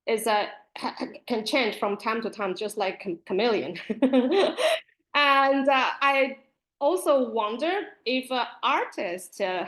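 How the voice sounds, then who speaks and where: A woman in her forties from Taiwan, presenting as a teacher librarian and self-published author in a video-call webinar. She talks candidly and earnestly, with soft nervous laughs between phrases. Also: a little high, poor audio.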